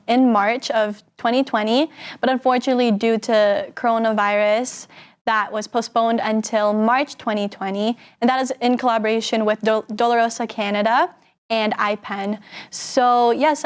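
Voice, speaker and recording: A seventeen-year-old woman, a pageant titleholder, answering interview questions in an upbeat way and quoting her own thoughts. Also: a little high.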